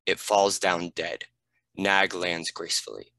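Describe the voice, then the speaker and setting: Man, script narrator, low voice, bright forceful tone, dry recording. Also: animated, measured pace, gravelly, no echo, poor audio. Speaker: narrator reading stage directions.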